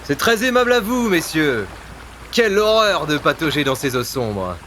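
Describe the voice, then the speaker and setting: An actor playing a weary, sardonic secret agent in an audio drama, sarcastic and given to muttering. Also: male voice, fairly high pitch, very animated, normal speed, noisy background.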